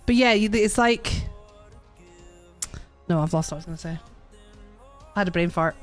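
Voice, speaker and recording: A woman's voice: lowish voice, normal speed. Lady in her 40s, chatty host, laughs a lot, bit of music under it. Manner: chatty, laughs often, swears casually. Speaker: podcast host and streamer. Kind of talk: casual chat.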